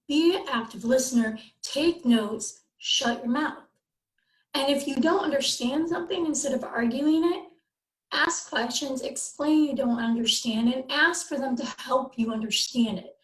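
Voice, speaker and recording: A woman's voice: sits high, roomy sound, poor audio. A woman speaking as a round table panelist, giving blunt, direct advice.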